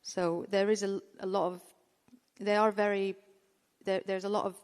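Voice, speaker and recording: A woman's voice: medium pitch, flat delivery, moderate pace, darkish tone, clean tone. A woman moderating a panel discussion, hesitant and often restarting her phrases. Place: bookshop event room. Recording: long hall reverb.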